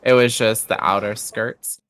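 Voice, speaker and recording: A man's voice: on the low side, fairly even intonation, a little slow, fairly bright tone, clean tone, no echo. A man chatting casually and candidly as a guest on a livestream, a bit self-deprecating. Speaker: streamer, guest on a stream. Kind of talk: casual chat.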